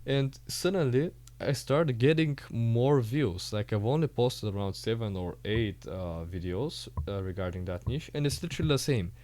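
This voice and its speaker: A man's voice: mid-range voice, lively intonation, normal speed, hardly any pauses, a little mellow. A Bulgarian man speaking English with a Bulgarian accent as a podcast host, talking in a casual, hesitant way full of "uh" fillers.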